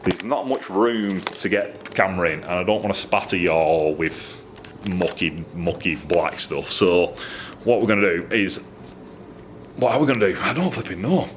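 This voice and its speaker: A middle-aged man with a fairly low voice talks casually and enthusiastically, rambling a little and poking fun at himself. He records in his own workshop, with a faint hum of noise behind him. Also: normal speed. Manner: chatty, rambling, self-deprecating, enthusiastic. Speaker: motorcycle exhaust maker. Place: indoor workshop.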